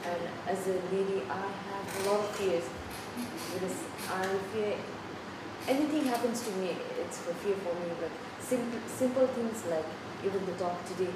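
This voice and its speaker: A woman's voice: mid-range voice, normal speed. Hesitant woman, distant and echoey. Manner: hesitant, repeating "like". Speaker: university student. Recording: echoey room, distant mic, loud noise.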